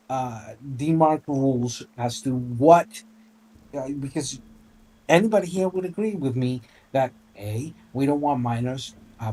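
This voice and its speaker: A man's voice: on the high side, mellow, low-quality recording. A man in his forties debating informally, talking discursively with formal wording mixed with swearing. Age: 40s. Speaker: debate participant.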